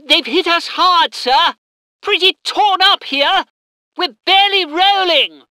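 A woman's voice: very high-pitched, slow, bright, forceful tone, no echo, clean studio sound, heavily acted. A woman voicing an eager, dutiful British army train crew soldier in a military strategy game, with clipped military reporting. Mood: worried. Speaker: game voice actor.

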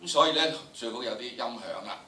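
Older man, Hong Kong Cantonese accent, drama lecturer, theatrical and emphatic, forceful bright tone, roomy distant recording. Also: mid-range voice, animated, quick pace. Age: older, about 70s. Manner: theatrical, emphatic, repeats phrases for effect. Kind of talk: animated classroom lecture to students. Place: large indoor lecture room with audience. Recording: echoey lecture room, distant, noisy.

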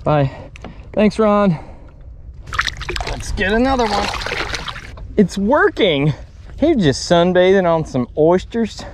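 A man in his thirties on a small boat, chatting excitedly and poking fun at himself as he fly fishes on camera. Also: sits high, slow pace, pauses often, noisy background. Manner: excitable, chatty, self-deprecating. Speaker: fly fishing vlogger. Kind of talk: casual on-camera fishing narration. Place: small open boat on the water outdoors. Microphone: action camera on the boat.

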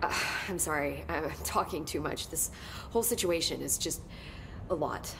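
A jittery, chatty young lab assistant, slightly low voice, game cutscene. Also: female voice, normal speed, vocal fry, noisy background. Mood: rattled. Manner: talkative, nervous rambling. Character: rattled, chatty young female research assistant. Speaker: research assistant. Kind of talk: video game cutscene acting.

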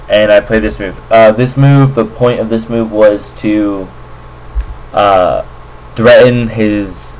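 Man, lowish voice, unscripted game commentary, casual, hesitant, thinking aloud. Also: poor audio. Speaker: amateur Go player, commentator. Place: indoors at a computer, screen recording voice-over. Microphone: makeshift computer microphone.

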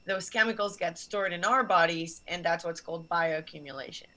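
A woman's voice: on the low side, moderate pace, sharp tone. A young woman, originally from Barcelona, explaining science to students earnestly over a video call from a small office. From Barcelona, Spain. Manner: earnest, explanatory, gestures while talking. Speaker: guest scientist. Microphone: video call webcam.

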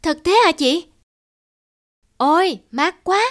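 High, innocent little grass blade. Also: female voice, lively intonation, takes it slowly, no room sound, studio quality, heavily acted. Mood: delighted wonder. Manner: wondering, exclamatory. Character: innocent, wide-eyed young blade of grass, childlike little sister. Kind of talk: animated film dubbing.

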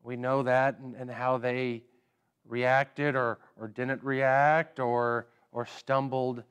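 A man in his thirties preaching a sermon in a church sanctuary, unhurried, often repeating words as he gathers his thoughts. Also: medium pitch, flat delivery, a bit bright, clear, resonant tone, highs cut off. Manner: unhurried, with frequent word repeats and pauses; standing and gesturing while preaching. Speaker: pastor.

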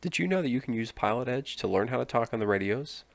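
A man giving scripted explainer narration in a clear, instructive manner. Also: medium pitch, expressive, quick pace, no echo. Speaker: narrator explaining air traffic control.

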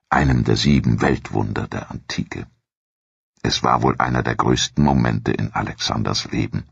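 A man narrates a history documentary in an extremely deep, gravelly voice. His scripted delivery is measured and solemn. The sound is dry, with a dull, muffled top end. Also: no echo.

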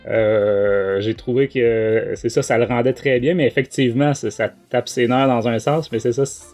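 A man's voice: mid-range voice, fairly even intonation, normal speed, darkish tone, soft background music. A man with a Quebec French accent, a podcast host giving a casual review in a hesitant, rambling way. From Quebec, Canada.

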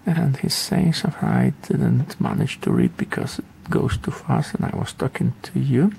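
A man's voice: medium pitch, wildly swooping pitch, normal speed, gravelly, dark tone, slight background noise. A man giving a casual game tutorial in a rambling, offhand, hesitant way. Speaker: gaming video creator.

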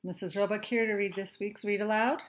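A middle-aged woman, a teacher, reading a children's story aloud with expressive, deliberate phrasing in a room at home. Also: mid-range voice, a little hiss or hum. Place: small furnished room at home.

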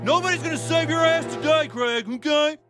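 An actor voicing a fussy middle-aged school counselor in a cartoon game who keeps tacking on "okay". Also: male voice, very high voice, fairly quick, music in the background. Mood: exasperated. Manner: repeats okay, nasal and fussy.

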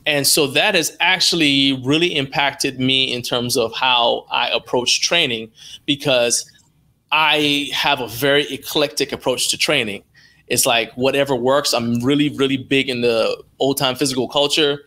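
A man's voice: medium pitch, steady tone, unhurried, pushed and bright. A middle-aged American man telling anecdotes casually as an interview guest on a video call, acting out bits of dialogue as he goes. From born in Liberia, moved to the United States as a child. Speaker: interview guest, strength athlete and live streamer. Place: indoor room, video call.

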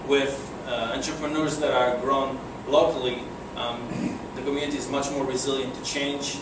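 A man's voice: medium pitch, flat delivery, normal speed. Polish-accented young man in an echoey room. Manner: casual, hesitant with many fillers and restarts. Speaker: guest speaker. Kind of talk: presentation to a breakfast meeting. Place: conference room, speaking to a seated audience. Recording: echoey room, far from the mic, air conditioning hum.

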